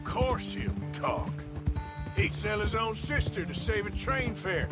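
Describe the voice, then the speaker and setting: A loyal, wry outlaw in a western game drawls in an American accent. His gruff voice sits fairly high and moves up and down a lot, over loud music and hoofbeats. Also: expressive, takes it slowly. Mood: dry, easy. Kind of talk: video game cutscene acting. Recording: loud music and horse sounds behind. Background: music, horses, hoofbeats.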